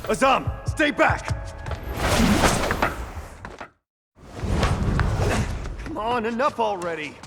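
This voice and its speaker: An earnest youngster in a video game story who tries to keep the peace. The delivery is indignant and pleading, with lively pitch movement and loud music underneath. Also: female voice, moderate pace. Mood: exasperated. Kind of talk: dramatic video game cutscene acting.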